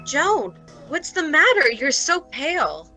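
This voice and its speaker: Excitable female friend in a radio drama, woman performer: highish voice, urgent, caring, very lively intonation. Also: slow, soft background music, full character voice. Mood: anxious concern. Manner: urgent, solicitous, emphatic. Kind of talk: old-time radio drama acting.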